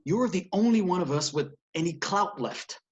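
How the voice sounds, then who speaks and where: A man's voice: sits high, lively intonation, moderate pace, dull audio. A man acting an earnest, persuasive television producer in a screenplay table read. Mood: pleading, persuasive.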